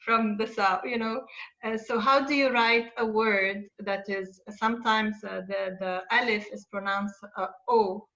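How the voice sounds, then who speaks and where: A woman's voice: mid-range voice, fairly even intonation, measured pace, fairly bright tone. Lebanese-born woman author on a dull call line. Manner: earnest, explanatory, with hesitations. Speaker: children's author on a panel. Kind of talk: online panel discussion. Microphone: video call.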